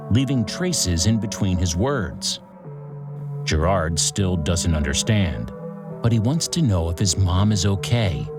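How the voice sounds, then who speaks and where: A theatrical true-crime host, with a low voice that swings widely in pitch. He tells his scripted story in short, deliberate sentences, leaving suspenseful pauses, over a music bed.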